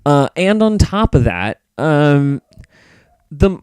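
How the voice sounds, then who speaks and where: A man's voice: a little high, sing-song, slow, darkish tone, smooth, no echo. A man talking casually as a podcast co-host and reviewer, giving opinions and explaining them at length. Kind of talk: casual podcast chat about movies.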